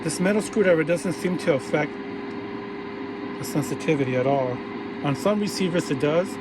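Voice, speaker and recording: A man casually narrating a hands-on radio repair tutorial while he works, relaxed and restarting his phrases often. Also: fairly high pitch, moderate pace. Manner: relaxed, talks while working, frequent restarts. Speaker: vintage radio repair hobbyist. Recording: audible background noise.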